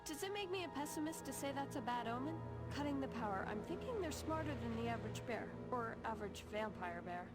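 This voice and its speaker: Woman as a highish, nerdy American teen girl. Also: animated, fast talker, hardly any pauses, natural voice. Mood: nervous. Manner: rambling, quirky, anxious. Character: nervous, nerdy, rambling teenage girl sidekick. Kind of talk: video game voice acting. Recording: loud music over the voice.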